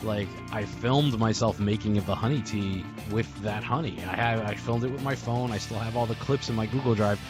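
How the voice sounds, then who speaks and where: A man's voice: fairly low pitch, brisk, hardly any pauses. A man speaking casually in a group remembrance, telling rambling stories as a podcast host. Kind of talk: casual reminiscing in a group memorial call. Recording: soft guitar music behind. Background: guitar music.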